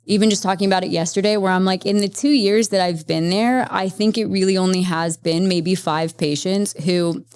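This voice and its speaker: A woman therapist speaking as a podcast guest, explaining at length in a lively, conversational way with many fillers. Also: mid-range voice, a little fast, few pauses, clear, resonant tone, no echo. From Las Vegas, Nevada, USA. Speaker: guest, psychedelic integration therapist. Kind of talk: conversational interview.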